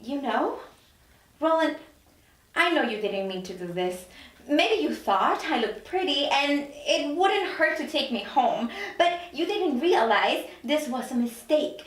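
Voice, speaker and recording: A woman's voice: sits high, lively intonation, unhurried. A woman playing a manipulative, frantic captive woman in her late thirties at a dramatic table read. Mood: coaxing. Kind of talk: dramatic script reading. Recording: roomy, distant mic.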